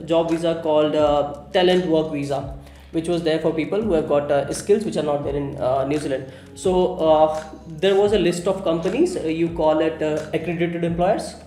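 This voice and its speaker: A man from India, a software engineer, talking casually as an interview guest, often pausing with "uh" as he recalls details. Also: fairly high pitch, reverberant. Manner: relaxed, many "uh" pauses while recalling.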